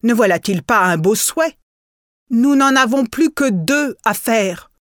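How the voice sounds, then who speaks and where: A cautious, hot-tempered peasant husband from a storybook reasons and argues, voiced by a woman reader. His lines bounce with lively pitch. The recording is dry and close. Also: measured pace, dry recording. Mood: angry.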